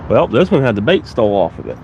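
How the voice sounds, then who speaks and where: A man's voice: on the low side, fast talker, gravelly, muffled recording, a little hiss or hum. A man with a stuffy, congested voice from a cold, chatting casually to viewers while fishing outdoors. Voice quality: stuffy, nasal. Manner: relaxed, chatty, talks to viewers while working. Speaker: fishing vlogger. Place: outdoors by the water.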